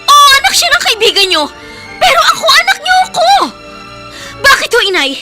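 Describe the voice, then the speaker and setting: A shrill, anguished Filipina daughter. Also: female voice, big pitch swings, brisk, heavily acted. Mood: anguished reproach. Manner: impassioned, accusing. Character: aggrieved, pleading daughter confronting her mother. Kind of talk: Filipino radio drama acting. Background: loud dramatic music.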